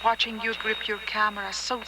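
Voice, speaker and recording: A woman's voice: medium pitch, little pitch movement, fairly quick, heavy background noise, lightly acted. A woman acting a wistful character in an intimate, reflective film monologue. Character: wistful woman recalling a lost marriage.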